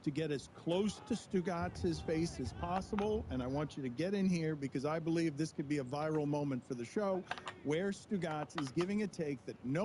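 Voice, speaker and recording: A man hosting a sports talk show, building up hype in a theatrical way. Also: a little high. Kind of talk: studio talk show chat. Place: studio.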